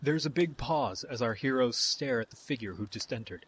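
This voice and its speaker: A man's voice: medium pitch, lively intonation, normal speed, a bit bright, husky. A man delivering scripted fantasy narration as the storyteller of an audio tale. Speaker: narrator, game-style storyteller.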